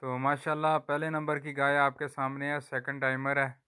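Guy showing cattle for sale outdoors, clear smooth voice, steady plain patter. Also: medium pitch, moderate pace. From Pakistan. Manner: repeats a stock religious phrase often. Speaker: livestock seller vlogger. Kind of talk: casual sales walkthrough.

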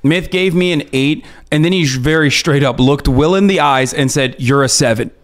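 A man's voice: on the high side, normal speed, very noisy. A man in casual group banter, teasing and argumentative as he talks. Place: indoor room with several people.

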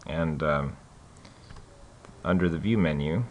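Man with a very low voice, casual tutorial host, loose and halting delivery, light hiss behind. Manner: relaxed, many fillers and restarts. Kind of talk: casual screen-recorded tutorial.

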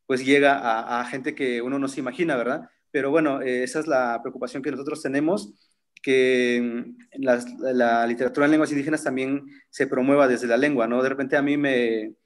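A man's voice: medium pitch, steady tone, normal speed. A man in his thirties, a native Zapotec speaker, talking thoughtfully in a seminar over a laptop video call, with many fillers and restarts. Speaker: seminar participant. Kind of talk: reflective conversational talk. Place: room at home with framed pictures. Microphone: laptop webcam on a video call.

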